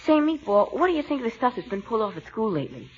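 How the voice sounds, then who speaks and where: A woman's voice: a little high, very animated, very fast, slight background noise. A woman playing a slangy, bashful but cagey schoolboy in a 1940s radio comedy. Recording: dull 1940s radio recording.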